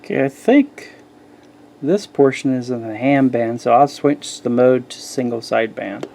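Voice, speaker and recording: A man's voice: mid-range voice, a little slow, creaky, a little mellow. A man casually demonstrating a shortwave receiver, talking in an offhand way as he tunes it. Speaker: radio hobbyist host demonstrating a shortwave receiver. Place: indoors beside a shortwave radio. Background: radio static and chatter.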